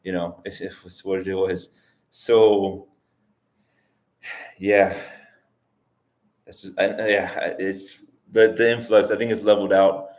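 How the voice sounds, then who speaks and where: A man's voice: low-pitched, little pitch movement, slow pace, pauses often, a little mellow. A man around forty hosting a casual livestream, answering viewer questions and thinking aloud with frequent restarts. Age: 40s. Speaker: livestream host. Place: lives in Colombia as an immigrant.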